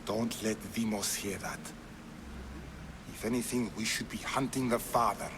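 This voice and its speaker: A man's voice: lowish voice, normal speed, lightly acted. An actor playing an impatient, scheming male cultist who argues in a declamatory way in a video game cutscene. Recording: long hall reverb, noisy ambience.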